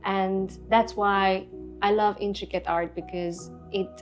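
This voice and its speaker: Soft-spoken woman, reflective. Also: mid-range voice, steady tone, measured pace, dull audio, soft background music. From Hungary. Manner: soft-spoken, reflective, with many fillers and restarts. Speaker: artist guest. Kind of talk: casual interview. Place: Budapest.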